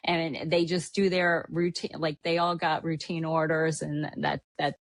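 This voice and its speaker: Chatty woman host, low voice. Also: flat delivery, measured pace. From Hawaii. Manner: chatty, rambling, lots of "like" fillers. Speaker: podcast host. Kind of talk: casual travel recap chat.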